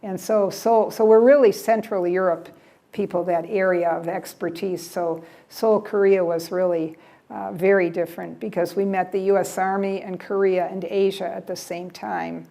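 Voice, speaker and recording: Chatty older American woman, mellow voice. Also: mid-range voice, fairly even intonation, normal speed, gravelly. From United States. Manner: chatty, repeats words, trails off with "yeah". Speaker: guest speaker at a library travel talk. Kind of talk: casual presentation with audience questions. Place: library event room.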